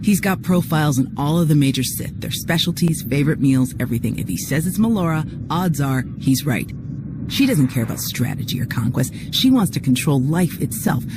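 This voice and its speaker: A woman's voice: sits low, expressive, brisk, noisy background. A woman playing a tough, cocky female security chief in a game cutscene, blunt and wry in manner. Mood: wry, matter-of-fact. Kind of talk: video game cutscene acting. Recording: music under the voice.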